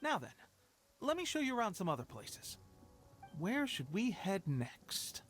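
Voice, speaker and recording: An actor playing an eager, boyish young soldier in a video game, with a peppy, rallying way of talking. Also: male voice, high-pitched, wildly swooping pitch, a little slow, fairly bright tone, faint music behind, heavily acted. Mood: upbeat, encouraging.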